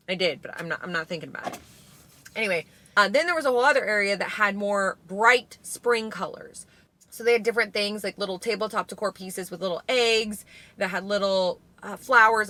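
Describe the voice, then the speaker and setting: A woman chats about her shopping finds in a loose, rambling way, often stopping to correct herself. Her tone is bright and forward, with a slight hoarseness from allergies. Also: mid-range voice, brisk. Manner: chatty, rambling, self-correcting. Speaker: vlogger. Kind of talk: casual shopping haul vlog.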